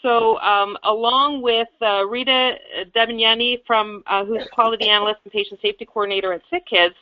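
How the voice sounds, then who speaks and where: A woman's voice: on the high side, steady tone, normal speed, no room sound. A woman hosting a webinar, introducing speakers in a hesitant way with many fillers and restarts. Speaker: webinar host. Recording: dull lo-fi webinar audio.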